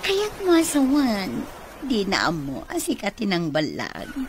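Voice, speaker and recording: Sly female accomplice, coaxing, inquisitive, unhurried, radio drama, clear background noise. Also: mid-range voice, huge pitch swings.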